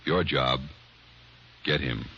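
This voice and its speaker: A man announcing an old radio crime show in a grave, deliberate, dramatic narration. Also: extremely low pitch. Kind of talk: solemn 1950s radio announcing, scripted narration. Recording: muffled old-time radio recording.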